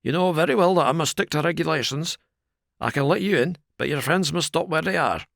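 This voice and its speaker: A man voicing a gruff, burly former prize-fighter who keeps the door, blunt and rough-spoken. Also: on the high side, brisk, a bit bright, no echo, studio quality, pitched above the performer's own voice. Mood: stubborn. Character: gruff burly male ex-boxer doorkeeper.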